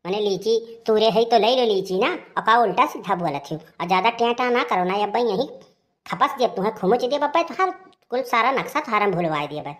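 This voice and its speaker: A woman's voice: medium pitch, expressive, brisk, fairly bright tone. A woman playing a brazen, combative elder village sister in a comedy cartoon, earthy and blustering, with an Awadhi accent. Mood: defiant, threatening. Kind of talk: rustic comedy cartoon dialogue.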